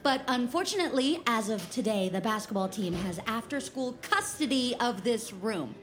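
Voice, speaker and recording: An actress playing a flaky, overly chipper high school principal who rambles and gushes in an audio drama. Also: female voice, animated, moderate pace, natural voice. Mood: chipper, flustered. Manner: rambling, gushing, giggly.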